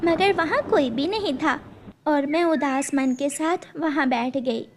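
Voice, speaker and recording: Lady doing anxious loving mom sparrow telling a story, really high voice, slight noise, cartoon. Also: a little slow. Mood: plaintive. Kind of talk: Hindi children's cartoon storytelling.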